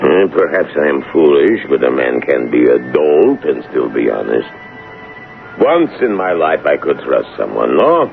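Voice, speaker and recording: An actor playing a gruff, stingy, brandy-loving Russian tailor who grumbles and haggles bluntly in a radio play. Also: male voice, extremely low pitch, sing-song, unhurried, narrow, tinny audio, exaggerated voice. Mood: amused, musing. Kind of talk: 1970s radio drama acting. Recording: band-limited old radio recording, music.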